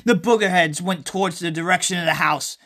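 A podcast host reading a horror story and promotional notes in a halting way, repeating phrases. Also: medium pitch, a bit bright.

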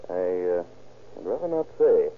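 An American actor playing a shady, persuasive swindler in a vintage radio crime drama, coaxing and evasive. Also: male voice, low-pitched, big pitch swings, normal speed. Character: shady, persuasive male swindler pitching a crooked scheme. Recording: scratchy, tinny old radio recording.

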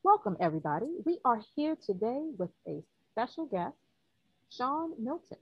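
Breathy woman host, dry and muffled. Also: a little high, big pitch swings, moderate pace, no room sound. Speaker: podcast host. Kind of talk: interview hosting.

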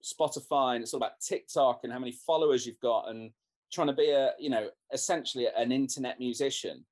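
A man's voice: mid-range voice, fast talker, darkish tone. Rambling man musician in an interview. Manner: rambling, many restarts and "you know"s. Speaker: guest musician. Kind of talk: casual interview chat.

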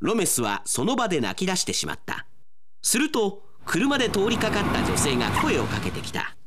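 A man's voice: sits high, huge pitch swings, normal speed, background noise. A male announcer reading scripted narration for a radio drama.

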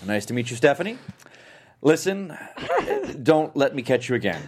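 A man's voice: mid-range voice, very animated, normal speed, darkish tone. A man playing a breezily insincere, authoritative school principal in a comedy script table read. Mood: mock-friendly. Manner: glib, authoritative.

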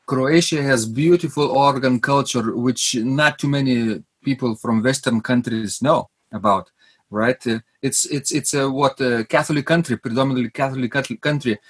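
Husky-voiced hesitant podcast host man. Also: mid-range voice, moderate pace. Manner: hesitant, many uh fillers, asks leading questions. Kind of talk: podcast interview.

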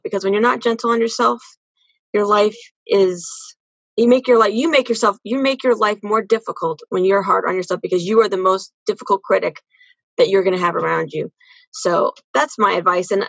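A woman's voice: mid-range voice, vocal fry, fairly bright tone, breathy edge, no room sound, lo-fi sound. A woman giving casual, earnest answers as a podcast guest, often repeating phrases for emphasis. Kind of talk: casual interview conversation.

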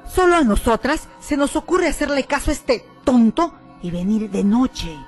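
A woman's voice: high-pitched, sing-song, normal speed, darkish tone, faint music behind. A woman playing a practical, impatient young student in a radio drama.

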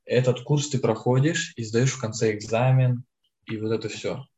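A man's voice: medium pitch, steady tone, moderate pace, darkish tone, muffled recording. Eighteen-year-old guy chatting casually. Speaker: podcast co-host. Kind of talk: casual podcast chat.

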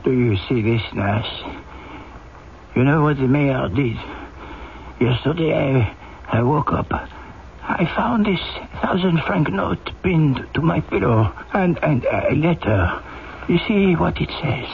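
An actor playing a humble injured old carter, halting and plaintive, in old-time radio style. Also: male voice, a little high, expressive, gravelly, full character voice. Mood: amazed, grateful. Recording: muffled old radio drama, faint noise.

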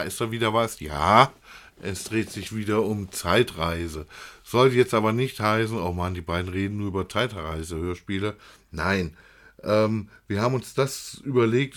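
A man's voice: on the low side, fairly bright tone. A man co-hosting a casual podcast, rambling and speaking straight to the listeners. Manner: rambling, addresses listeners directly. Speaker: podcast co-host. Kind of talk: casual chat about audio dramas.

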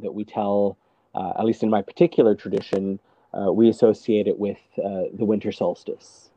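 A man telling stories as a guest, talking in a hesitant, halting way with many restarts, seated at home on a video call. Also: fairly low pitch, level tone, highs cut off. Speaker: storyteller guest. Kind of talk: casual conversation.

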